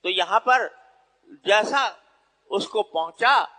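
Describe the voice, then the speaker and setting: A man's voice: high-pitched, animated, bright, forceful tone. A man preaching an Islamic moral sermon in a didactic, emphatic way, repeating phrases for stress. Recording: long hall reverb.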